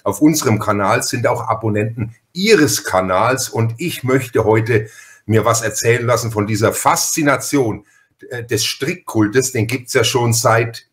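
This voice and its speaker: A man's voice: medium pitch, fairly bright tone. A man hosting a podcast interview in a casual, chatty and wordy way, often interrupting himself and repeating words.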